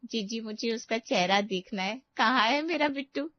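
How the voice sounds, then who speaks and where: A woman voicing a simple, doting, melodramatic village aunt with a creaky voice in a Hindi cartoon story. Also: fairly high pitch, normal speed, no echo, muffled recording, natural voice. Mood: distraught.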